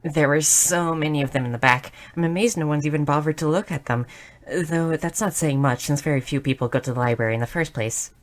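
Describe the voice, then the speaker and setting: Casting: a woman as a bubbly, bookish goblin girl for audio roleplay, low-pitched, inquisitive, with a slight nervous stammer. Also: fairly quick, close to an everyday voice. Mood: earnest, fascinated. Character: shy, bubbly, bookish young goblin girl, eager and curious. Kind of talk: scripted audio roleplay addressed to the listener.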